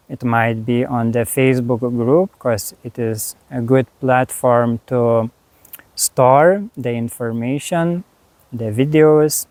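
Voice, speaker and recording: A man with a Lithuanian accent, a spiritual teacher talking slowly and haltingly to camera about his course, with many pauses and restarts. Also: mid-range voice, a little mellow, dry recording. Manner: unhurried, halting, frequent fillers and restarts. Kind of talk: reflective monologue.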